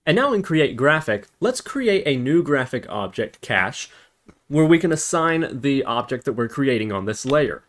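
A man's voice: medium pitch, big pitch swings, moderate pace. Man narrating a coding tutorial. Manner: explanatory, thinking aloud while typing. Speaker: programming tutorial instructor. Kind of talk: step-by-step screencast tutorial narration.

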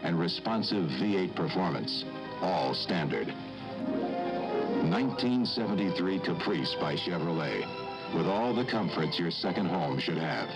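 A man's voice: deep voice, sing-song, a little slow, rough recording. A man narrating a scripted car commercial from the 1970s as its announcer. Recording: 1970s off-air TV tape. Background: loud music.

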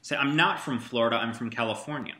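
Guy tutoring arabic one on one, really bright ringing voice, patient, keeps prompting. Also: mid-range voice, quick pace, a little hiss or hum. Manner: patient, repeats praise, prompts the student. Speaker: Arabic teacher. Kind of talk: one-on-one language lesson.

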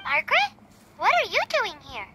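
A woman voicing a tiny excitable, indignant fairy girl companion in a video game, with a squeaky childlike voice. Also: moderate pace, heavy background noise, full character voice. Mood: curious, chiding. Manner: exclamatory, speaks of herself in third person. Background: game music.

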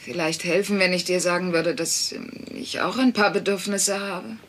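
A woman's voice: on the low side, fairly even intonation, normal speed, fairly bright tone, a little hiss or hum, lightly acted. A woman voicing a blunt, teasing, persistent working-class wife in a dubbed sitcom, wry and probing. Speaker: voice actor in a German sitcom dub.

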